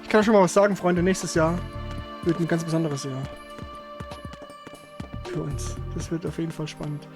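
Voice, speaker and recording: A man's voice: on the high side, moderate pace, long pauses. German man chatting casually over game music. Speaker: let's play host. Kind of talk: casual gaming chat.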